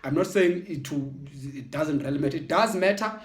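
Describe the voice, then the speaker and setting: A South African man from the Eastern Cape, a football channel host, speaking in a casual reflective monologue with halting restarts and repeated words. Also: on the high side. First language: Xhosa and Sotho mix. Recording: echoey room.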